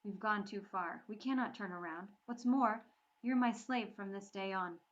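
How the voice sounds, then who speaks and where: A woman's voice: mid-range voice, moderate pace, dull audio, natural voice. A woman voicing a proud teenage Viking boy who talks in a haughty, commanding way.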